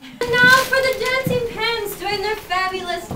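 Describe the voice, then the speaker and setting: Young woman, very high and animated, theatrical kids' poetry reader, distant noisy recording. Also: normal speed. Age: young adult. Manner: animated, exaggerated showmanship. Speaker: student readers theater performer. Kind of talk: theatrical poetry recitation for children. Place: echoey indoor room, far from mic. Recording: distant mic, echoey room, background noise.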